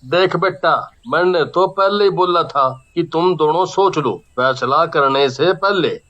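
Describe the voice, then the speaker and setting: A man's voice: fairly high pitch, fairly bright tone. An actor with a Haryanvi accent voicing the ghost of a stern but loving wrestler father in a cartoon, speaking firmly and unhurriedly. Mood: firm, fatherly. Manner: firm, unhurried fatherly speech. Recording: slightly muffled lo-fi audio. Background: faint music.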